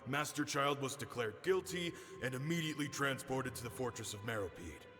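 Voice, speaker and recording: An actor playing a dutiful, deferential subordinate giving formal reports in a video game. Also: male voice, medium pitch, lively intonation, brisk, lightly acted. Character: dutiful male subordinate reporting to his superior. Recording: reverberant hall, music behind.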